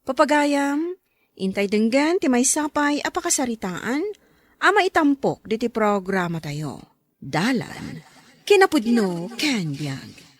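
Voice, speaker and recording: Female announcer for a scripted radio program, measured and formal with varied intonation, dry recording. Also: a little high, big pitch swings, no echo.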